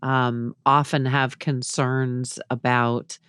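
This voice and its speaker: Slow-spoken woman with a low, even voice. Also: clean tone, dry recording, clean studio sound. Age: middle-aged. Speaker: podcast guest. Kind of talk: conversational advice in an interview.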